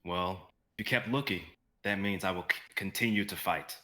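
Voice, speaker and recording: A man plays an earnest male fantasy hero, determined and impassioned. The voice is bright and forceful, with halting, pleading delivery in a stage-reading style. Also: sits low. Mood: resolute.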